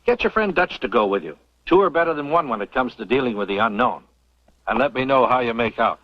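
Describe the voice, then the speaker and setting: Actor as pompous, husky camp professor. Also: male voice, mid-range voice, expressive, no echo, close to an everyday voice. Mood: advising. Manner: pompous, deliberate. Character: self-important camp professor, knowing and sly. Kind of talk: old-time radio drama acting. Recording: muffled old radio recording.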